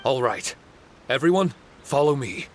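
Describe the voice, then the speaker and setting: A man's voice: mid-range voice, sing-song, unhurried, a little hiss or hum. A man voicing an earnest, somewhat forgetful male puppet warrior in a game. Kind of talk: video game cutscene dialogue.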